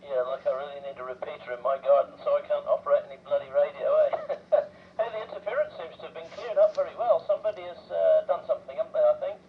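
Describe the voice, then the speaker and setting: Creaky-voiced New man on muffled radio. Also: on the high side, fast. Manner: chatty, jokey, tags statements with questions. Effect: two-way radio. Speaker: amateur radio operator. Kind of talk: casual radio chat. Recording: muffled, noisy two-way radio transmission.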